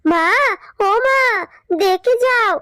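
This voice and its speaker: A woman's voice: very high-pitched, animated, very slow, fairly bright tone, no room sound, clean studio sound, full character voice. A woman voicing a sweet, earnest young animal girl in a children's cartoon, childlike and friendly. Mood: excited. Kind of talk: Bengali children's cartoon dubbing. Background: music.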